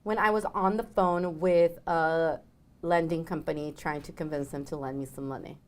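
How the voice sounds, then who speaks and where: A woman with a Mexican accent, a guest on a business talk show, who rolls her R's and speeds up when excited. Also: sits low, normal speed. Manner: animated and laughing. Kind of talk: casual interview chat.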